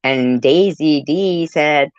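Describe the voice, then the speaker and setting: A woman in a heated, informal argument, defensive and quick to contradict. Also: low-pitched, darkish tone, clean tone, no room sound. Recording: muffled lo-fi audio.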